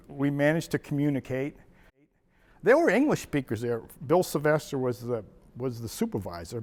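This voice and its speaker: A man's voice: mid-range voice, darkish tone. Older man spinning a rambling story. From Maine, USA. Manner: rambling, chuckling storyteller with frequent restarts. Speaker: retired wildlife biologist. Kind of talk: reminiscing personal story.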